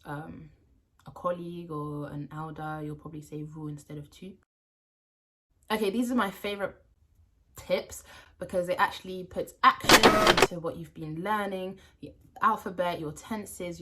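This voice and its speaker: A young woman from France with a London accent and French as her first language, giving language-learning tips to camera in a chatty, animated way. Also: lowish voice. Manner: chatty and animated, with playful asides. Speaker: language vlogger.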